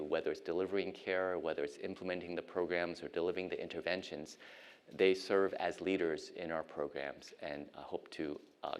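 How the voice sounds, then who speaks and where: Middle-aged man, deep voice, unhurried academic speaker from Ohio. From United States (Ohio). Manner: measured, frequent ums and uhs. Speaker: guest lecturer, physician and professor. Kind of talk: academic lecture.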